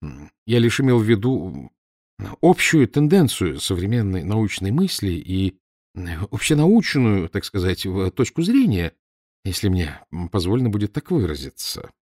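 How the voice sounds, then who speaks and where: A man's voice: lowish voice, sing-song, moderate pace, a little mellow, no room sound, studio quality. A man voicing a nervous young reporter who talks hesitantly and stumbles through his words. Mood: flustered. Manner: hesitant, fumbling, full of fillers. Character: young male newspaper reporter, nervous and bluffing.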